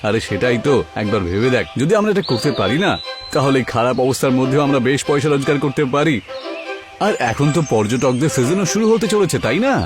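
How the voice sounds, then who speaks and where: Man voicing an earnest, quick cartoon village lad. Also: mid-range voice, lively intonation, natural voice. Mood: eager. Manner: earnest, reassuring. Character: determined, hopeful young village boy, caring son. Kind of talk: cartoon character acting. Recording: music behind the voice.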